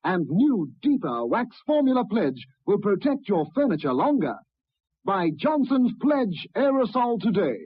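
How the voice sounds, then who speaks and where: A woman's voice: mid-range voice, very animated, a little slow, breathy edge. A woman reading a scripted vintage radio commercial as an advertising announcer. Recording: dull lo-fi old radio recording.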